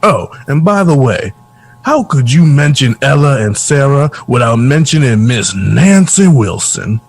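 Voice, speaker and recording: A man's voice: medium pitch, big pitch swings, a little slow, vocal fry, heavily acted. A man acting in an audio drama as a wry old former musician who is a kindly mentor. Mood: wry, encouraging. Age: older.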